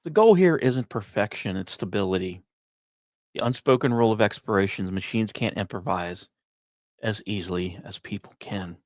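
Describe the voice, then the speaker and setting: A man hosting a podcast, reading scripted space and science narration with occasional asides, in dramatic storytelling phrases broken by short pauses. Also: fairly low pitch, darkish tone, no echo, studio quality. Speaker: podcast host.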